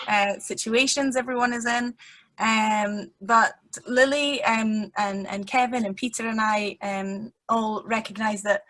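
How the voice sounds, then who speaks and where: A young woman welcomes everyone over a video call from a room at home, speaking slightly high. She laughs easily and leans on fillers as she talks. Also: poor audio. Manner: warm, laughing, many fillers. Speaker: program participant. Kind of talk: casual webinar welcome.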